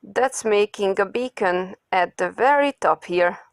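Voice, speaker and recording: Woman acting as young male cartoon genius, tired, pompous, wordy, precise, slow-paced, dry close sound. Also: medium pitch, dry recording, natural voice. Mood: explaining. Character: weary, pedantic young male genius inventor. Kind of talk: amateur comic dub acting.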